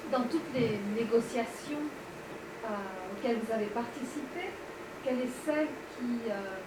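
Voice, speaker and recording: A woman in the audience asks the speaker a question, hesitant and restarting several times. Her fairly high voice comes from far off in an echoey hall. Loud hiss runs underneath. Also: unhurried. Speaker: audience member asking a question.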